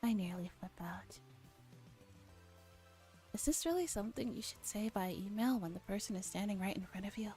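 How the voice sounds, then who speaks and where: Female ASMR streamer, gentle narrated read-aloud that slips into whispers, light music underneath. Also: mid-range voice, moderate pace, long pauses. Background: background music.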